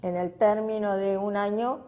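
A woman's voice: medium pitch, fairly even intonation, normal speed, dark tone. An older woman with an Argentine accent gives a personal testimony, recollecting in a reflective, spontaneous way with emphatic repetition. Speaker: interviewee giving personal testimony.

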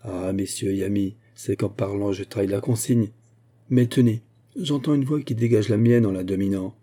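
A man voicing an honourable young gentleman officer in a storybook, earnest, lively and courteous. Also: fairly low pitch, normal speed, breathy edge. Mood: urgent, constrained.

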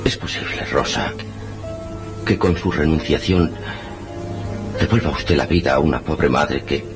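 A man acts a solemn, solicitous doctor in an old radio melodrama. His voice is extremely low, with hushed urgency and careful hesitations. Faint music sits underneath. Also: unhurried. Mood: hesitant, earnest. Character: grave, solicitous male doctor with a secret plan. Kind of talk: melodramatic old Spanish radio serial acting.

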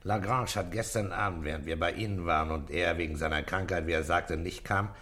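An actor in a German radio crime drama playing a calm, authoritative middle-aged police commissioner with a terse, measured, commanding manner. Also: male voice, deep voice. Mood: grave, probing.

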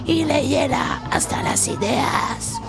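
A woman voicing a shouting female punk singer, half singing her lines over a band. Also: high voice, expressive, slow, gravelly, heavily acted. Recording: with loud band music.

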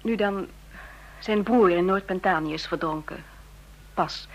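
A woman playing a gentle, sympathetic young employee of an intelligence bureau in a radio drama, speaking softly and with some hesitation. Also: on the low side, measured pace, highs cut off. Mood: pensive, concerned. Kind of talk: Dutch radio drama acting.

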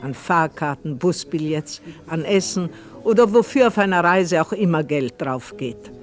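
A man acting as the storyteller of a radio play. His voice sits slightly high for a man, and he delivers scripted narration over faint music. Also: expressive, normal speed, lightly acted. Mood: measured narration.

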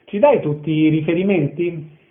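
A man's voice: on the high side, normal speed, phone-quality sound. A man hosting a radio interview, putting questions and presenting items to listeners.

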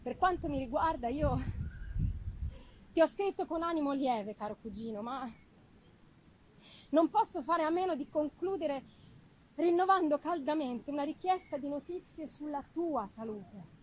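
A woman voicing an affectionate, anxious young gentlewoman in a theatrical, unhurried reading of a letter from a gothic novel. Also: high-pitched, rough recording. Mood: anxious, pleading. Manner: expressive, unhurried dramatic delivery with pauses. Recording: live music behind the voice.

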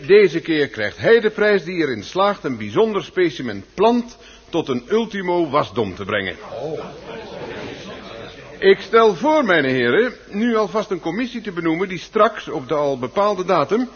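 A man's voice: on the high side, breathy edge, heavily acted. An actor playing a pompous, ceremonious chairman of a gardening club in a Dutch radio play, wordy and oratorical. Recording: muffled, lo-fi old radio recording.

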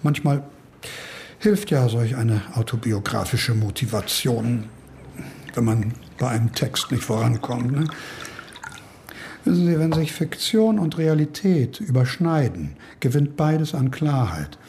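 Older professor for radio drama: gravelly, blunt, wry mentor, teasing but fatherly. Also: mid-range voice, expressive, unhurried, mellow, slight background noise. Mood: wry, lecturing. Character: older gruff professor, teasing, paternal, fond of a drink. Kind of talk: naturalistic radio drama dialogue.

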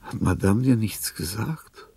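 An actor playing a dying, lonely old artist in a radio drama, confused and weary, halting and often sinking into whispers. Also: male voice, lowish voice, gravelly, mellow, slightly rough, dry recording, recorded from a distance, exaggerated voice. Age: older. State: weak, weary. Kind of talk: radio drama acting, interior monologue.

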